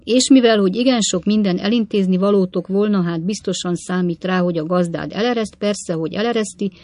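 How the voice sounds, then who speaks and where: A woman's voice: medium pitch, a little mellow, dry recording, natural voice. A woman narrator puts on an old widowed father from a storybook. He rambles in long run-on phrases, homely yet quaintly formal. The sound is dry and close. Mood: mournful rambling. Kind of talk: audiobook letter reading in character.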